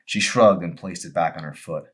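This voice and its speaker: A man with a low voice presents and reads his own fiction in an audiobook manner. His phrasing is deliberate and dramatic. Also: fairly bright tone, breathy edge. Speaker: author reading own novel. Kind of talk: audiobook-style narration with casual introduction.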